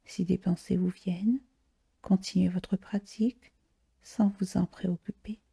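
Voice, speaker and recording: Female meditation guide: hushed, very soft and mellow delivery, unhurried and soothing, heavy breathiness. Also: on the low side, steady tone, gravelly, breathy edge. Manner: slow, soothing, with long pauses. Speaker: yoga nidra relaxation guide.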